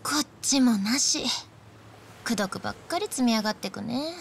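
A woman's voice: fairly high pitch, animated, moderate pace, gravelly, a little hiss or hum. An actress playing a frank, guarded young woman in a video game drama, speaking in a casual way. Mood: casual, teasing. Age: young adult.

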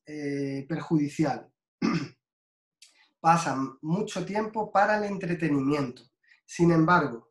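A man from Spain giving a slow, deliberate guest lecture over a video call, with many fillers and restarts. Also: a little high, reverberant.

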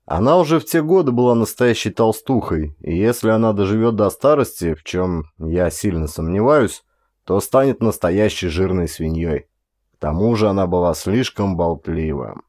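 A man's voice: deep voice, lively intonation, normal speed, darkish tone. A middle-aged man reading a short story as an audiobook narrator, in a dramatic scripted style.

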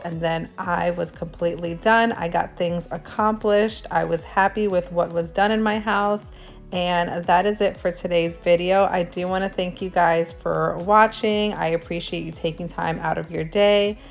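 A woman's voice: lowish voice, gravelly. A woman who is a stay-at-home mom, chatting through a voice-over for her clean-with-me video. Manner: chatty, upbeat product talk with fillers. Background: faint background music.

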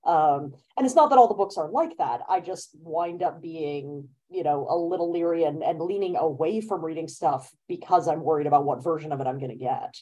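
A young adult woman talking on a video call from her bedroom, lively and quick to laugh as she leads the discussion. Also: low-pitched, highs cut off. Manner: lively, laughing, speeds up when animated. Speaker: author and event moderator. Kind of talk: casual conversation on a video call. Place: bedroom at home. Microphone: laptop webcam mic on video call.

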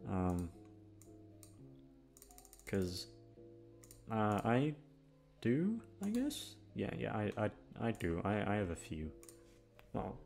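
A man's voice: fairly low pitch, very animated, slow pace, vocal fry, long pauses, a little mellow, soft background music. A man chatting casually to his listeners, rambling in a downbeat, complaining way and swearing freely. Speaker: channel host, audio voice actor.

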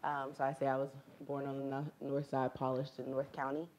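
Low-voiced young woman, composed and earnest. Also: monotone, fairly quick, crowd noise. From St. Louis, USA. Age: 20s. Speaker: activist panelist. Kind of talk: panel self-introduction. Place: large meeting room.

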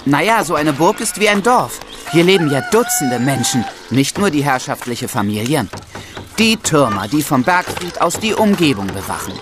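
A man's voice: on the high side, very animated, normal speed, background noise. A young man playing an eager, proud medieval squire who explains things to visiting children in an audio drama. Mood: explaining. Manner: explanatory, enumerating.

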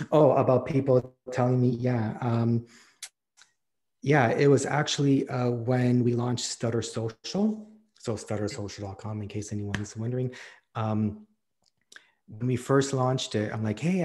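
An easygoing man in his forties who stutters openly talks through an interview at a middle pitch. His speech is casual and rambling and drifts off on tangents, broken up by laughter. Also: steady tone, moderate pace, a little mellow. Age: 40s. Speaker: podcast host and stuttering advocate.

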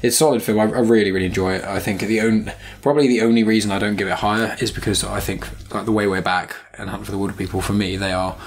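A man's voice: fairly low pitch, fairly even intonation, quick pace, few pauses, slightly rough. A young British man hosting a podcast, chatting casually about films in a rambling way that trails off mid-thought. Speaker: podcast host. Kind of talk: casual film review chat.

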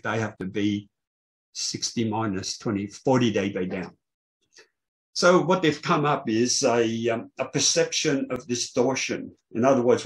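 An older man presenting technical material on a video call, explaining in a halting way. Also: medium pitch. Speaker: presenter, loudspeaker engineer. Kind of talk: technical presentation to an online meeting. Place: small indoor room, online video call. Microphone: video call microphone.

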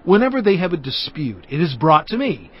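A man's voice: a little high, big pitch swings, brisk, breathy edge, muffled recording. A man voicing Moses, an earnest, fervent leader speaking emphatically.

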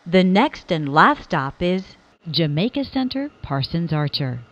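A woman delivers scripted transit announcements in a slightly low voice. Her delivery is slow and formal, with lively rises and falls between stitched phrases. The recording is dull and lo-fi. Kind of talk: scripted train station announcements.

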